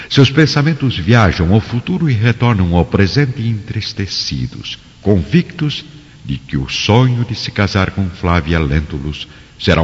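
A man narrating a radio drama as a solemn storyteller, florid and theatrical in delivery. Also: low-pitched. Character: solemn storyteller of an ancient Roman saga. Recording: dull recording with long reverb.

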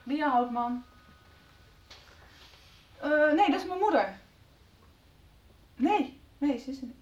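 A woman's voice: sits high, lively intonation, takes it slowly, darkish tone. A woman playing a worried, irritable teenage daughter in a radio soap. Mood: flustered. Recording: dull, roomy, distant 1990s radio recording.

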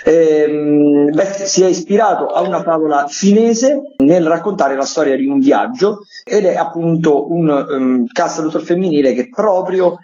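A man's voice: fairly high pitch, unhurried, few pauses, darkish tone. A man giving chatty radio recommendations as a theatre critic, wry and a little hesitant. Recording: slightly dull lo-fi radio link.

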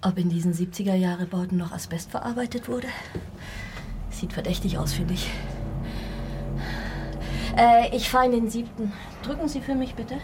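A woman's voice: medium pitch, moderate pace, long pauses, lightly acted. A woman playing a friendly, slightly flustered and politely sceptical midwife in a naturalistic radio drama. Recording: noisy location sound.

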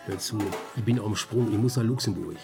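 A man's voice: on the low side, fast, gravelly, over music. An actor playing a restless, sardonic down-on-his-luck man in a radio drama, colloquial and insistent in a naturalistic style. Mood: casual, hurried. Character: restless, sardonic down-on-his-luck amateur sleuth, wary of police. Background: faint background ambience.

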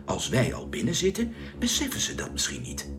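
A man's voice: low voice, huge pitch swings, a little fast. A man playing an anxious, commanding spaceship captain in a science-fiction radio drama. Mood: tense, practical. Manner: urgent, commanding.

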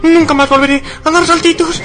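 A man's voice: extremely high pitch, level tone, a little fast, sharp tone. A man from a radio comedy drama voicing a clingy, pesky cartoon paperclip helper with a whiny, cajoling manner and an accent from Spain. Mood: pleading. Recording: music bed under the voice.

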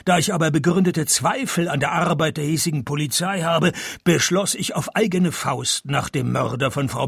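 World-weary detective, super raspy with lots of fry, dry sarcasm, comic radio play. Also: male voice, fairly high pitch, no echo, full character voice. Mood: dry, narrating. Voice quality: raspy, gravelly. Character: sardonic, world-weary male private detective narrating his own case. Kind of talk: German-language comic noir radio play.

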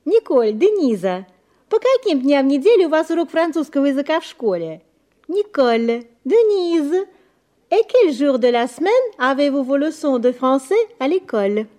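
A woman hosting a scripted bilingual radio language lesson as guide and interpreter, formal and deliberate, repeating phrases. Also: high voice, very animated, mellow.

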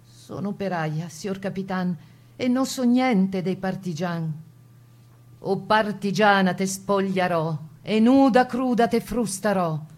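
A woman performing as the narrator of a partisan ballad, reciting dialect verse with a Veneto accent in a solemn, declamatory, husky voice. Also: mid-range voice, takes it slowly, darkish tone. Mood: menacing, defiant. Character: poet-narrator of partisan ballad, voicing captor and defiant prisoner. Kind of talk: dramatic recitation of dialect poetry.

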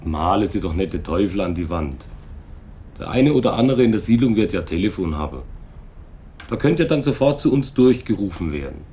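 A man playing an unhurried small-town policeman in a German radio drama, colloquial, placating and dismissive. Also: low-pitched, sing-song, warm tone, slight background noise. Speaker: actor in a radio play.

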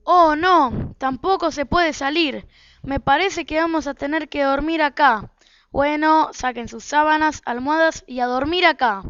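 A woman's voice: high voice, a little slow, fairly bright tone, dry recording. A woman with an Argentine accent playing an easygoing husband and father in an amateur radio play. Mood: resigned. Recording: dull, dry, lo-fi recording.

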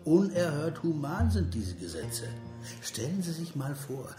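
An actor in a radio play voicing a bitterly sarcastic political cynic of 1930s Germany, with a rounded, rough voice and biting, declamatory delivery. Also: male voice, on the high side, very animated, unhurried. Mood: biting sarcasm. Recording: roomy echo, music behind.

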